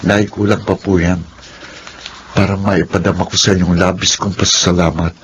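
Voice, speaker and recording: An actor playing an earnest, devoted grown son in a Filipino radio drama. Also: male voice, low voice, level tone, moderate pace, a little hiss or hum, lightly acted. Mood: grateful, tender.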